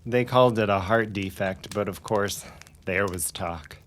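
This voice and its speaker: A performer playing an ominous small-town gossip with dark secrets in a period audio drama, talking in an understated, insinuating way. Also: deep voice, measured pace, slight background noise.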